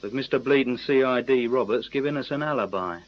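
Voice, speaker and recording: An actor as cocky English gang boss, muffled recording. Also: male voice, medium pitch, fairly quick, a little hiss or hum, close to an everyday voice. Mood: insistent. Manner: slangy, forceful, persuasive. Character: cocky male criminal ringleader, scornful, insistent. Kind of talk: radio drama acting. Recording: dull, lo-fi radio recording.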